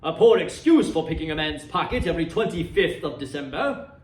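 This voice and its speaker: Casting: male reader playing stingy old storybook boss, curt and grumbling, high-pitched, roomy echo. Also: animated, brisk, a little hiss or hum, lightly acted. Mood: sour, grudging. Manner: curt, grudging, sour. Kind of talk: storybook reading. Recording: roomy echo, distant microphone.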